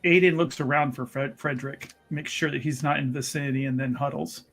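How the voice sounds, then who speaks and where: Guy on an rpg stream, repeats himself, halting casual roleplay, soft music under. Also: mid-range voice, steady tone. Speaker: player in a tabletop roleplaying game. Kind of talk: improvised casual roleplay chat.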